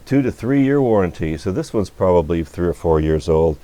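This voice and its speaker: A man's voice: deep voice, expressive, fairly quick, dark tone. A man giving an unscripted, hands-on product demo, thinking aloud in an off-the-cuff way. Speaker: radio repair bench presenter. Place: electronics repair workbench. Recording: noisy recording with electrical hum.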